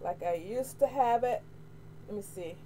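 A middle-aged American woman chatting casually to camera, candid and expressive, repeating phrases for emphasis. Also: mid-range voice, unhurried. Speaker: hair vlogger. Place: small room at home, indoors. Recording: noisy home webcam audio.

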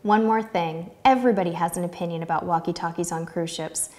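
A woman's voice: lowish voice, a little fast, mellow. American woman presenter, reverberant sound. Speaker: host of a travel tips video series. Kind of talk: scripted presenting to camera. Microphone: lapel mic.

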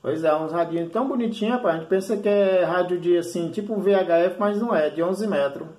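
A man's voice: high-pitched, fairly quick, a little mellow. A man in casual radio chat, explaining things colloquially and restating his points. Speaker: amateur radio operator. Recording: echoey room recording.

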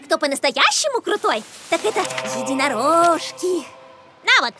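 A woman voicing a cute, playful little girl creature in a children's cartoon, girlish and expressive. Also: extremely high pitch, normal speed, over music. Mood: teasing.

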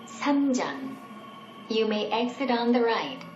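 A woman reading a formal, deliberate scripted subway announcement. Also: fairly high pitch, very animated, muffled recording, soft background music. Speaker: train announcer. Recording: echoey, loud train noise. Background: moving train noise.

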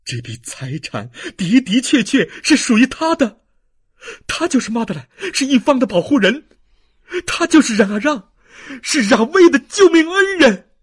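Narrator playing male baron, earnest, passionate, emphatic, very wide pitch swings, audiobook character. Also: very animated, normal speed, breathy edge. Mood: fervent.

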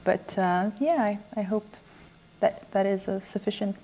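Mellow-voiced woman from Iran, thoughtful and halting. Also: mid-range voice, low-quality recording. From Iran, raised in Sweden. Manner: halting, thoughtful, pausing between phrases. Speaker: interview guest, children's author and former academic. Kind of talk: unscripted interview answers. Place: quiet room in a house.

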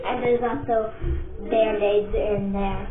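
A woman's voice: medium pitch, flat delivery, takes it slowly. A young girl giving a rambling, chatty tour of her family home, filmed on a handheld phone. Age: child. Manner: chatty, rambling, restarts sentences. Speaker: vlogger giving a house tour. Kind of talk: casual house tour. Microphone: handheld phone camera. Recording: tinny phone audio with loud noise.

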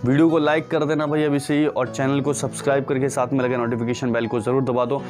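Young male tech vlogger, earnest and repetitive, mid-pitched, faint guitar bed behind, camera-mic sound in a dark room. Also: little pitch movement, fast talker. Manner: earnest, repetitive, addresses viewers as friends and brother. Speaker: tech channel host. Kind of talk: direct-to-camera awareness vlog.

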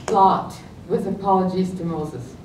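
An older woman reading a humorous poem at an open mic in a library room, with a playful lilt. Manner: lively, playful storytelling lilt. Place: library meeting room, at a podium. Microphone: stand mic, far camera. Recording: echoey room, distant mic, faint rumble.

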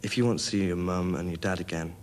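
A young man looks back in an interview. His voice is very deep and rather flat, with clear noise behind him. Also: fast talker. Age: young adult. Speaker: interviewee, rescued caver. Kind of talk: reflective interview recollection.

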